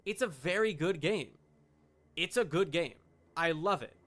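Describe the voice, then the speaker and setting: Bright, forceful male game streamer. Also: fairly high pitch, lively intonation, a little slow. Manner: opinionated, emphatic, argumentative. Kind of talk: casual livestream chat while playing a video game.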